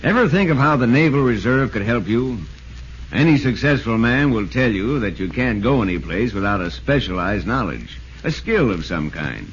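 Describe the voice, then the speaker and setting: A man plays a chummy sports reporter in 1940s radio style. His delivery is animated and polished for storytelling. The recording is dull with a little noise. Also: medium pitch, moderate pace, natural voice. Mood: earnest, persuasive. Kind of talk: 1940s radio narration and pitch.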